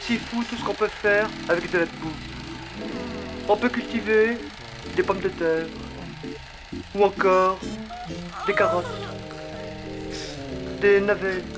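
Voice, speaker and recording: A woman's voice: medium pitch, fairly even intonation, takes it slowly, long pauses, close to an everyday voice. A woman voicing an earnest, dull narrator of a parody educational film in a cartoon. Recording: film narration with music behind.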